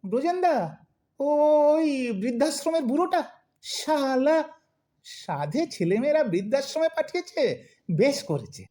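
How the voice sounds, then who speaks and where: A grumbling, lovesick old man in his eighties as a woman acts him out for an audio play. The delivery is slow and plaintive, with melodramatic muttering and big swoops in pitch. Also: expressive, dark tone. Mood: indignant, grumbling. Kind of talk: audio drama acting.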